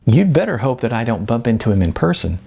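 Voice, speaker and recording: A man's voice: medium pitch, sing-song, fast, creaky, dark tone, slightly rough, heavily acted. A man voicing a playful, decisive young woman, a supportive partner, in audiobook dialogue. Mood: playful, teasing.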